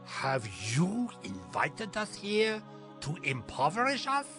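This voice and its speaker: Menacing Philistine in Bible audio drama, very slow and animated, faint music behind. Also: male voice, high voice, full character voice. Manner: threatening, drawn-out delivery. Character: menacing Philistine man threatening a bride.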